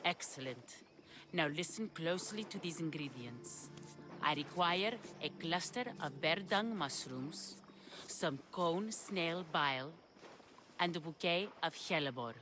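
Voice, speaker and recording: A woman's voice: low-pitched, lively intonation, unhurried, over music. A woman playing an obsessive, earnest alchemist in a video game, with an intense, precise manner. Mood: eager. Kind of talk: video game cutscene acting.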